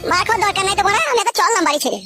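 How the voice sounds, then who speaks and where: High, fast, whiny cartoon son voiced by a woman. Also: animated, over loud music. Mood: defiant, taunting. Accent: rural West Bengal Bengali. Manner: whining, defiant, cursing. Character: defiant, aggrieved young married son, foul-mouthed, whining. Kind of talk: comic cartoon dialogue.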